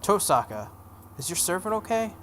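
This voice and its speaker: A man's voice: high voice, sing-song, moderate pace, a bit bright, soft background music, pitched above the performer's own voice. A man voicing an earnest, uncertain teenage boy in a visual novel, plain-spoken and questioning, over some noise. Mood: curious, earnest. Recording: noticeable background noise.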